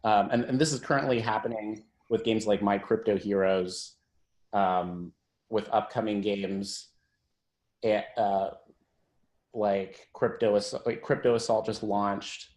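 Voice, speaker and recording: A man's voice: fairly low pitch, fairly even intonation, measured pace, a little mellow, echoey room. A man who works as a tech executive, giving an informal presentation on a conference call.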